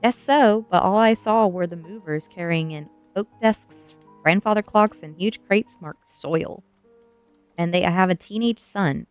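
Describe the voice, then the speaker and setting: A woman's voice: on the low side, measured pace, clear, resonant tone, soft background music, lightly acted. A woman voicing a gossipy but timid teenage girl, a best friend in an audiobook, hesitant and confiding. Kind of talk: audiobook character voice.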